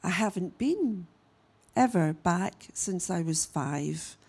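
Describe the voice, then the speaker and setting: A woman, giving a church message as a missionary and telling her story in a halting, unhurried way with frequent pauses. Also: on the low side, animated, darkish tone, breathy edge. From Scotland. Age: 60s. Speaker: guest speaker, missionary. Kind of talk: church message, personal testimony.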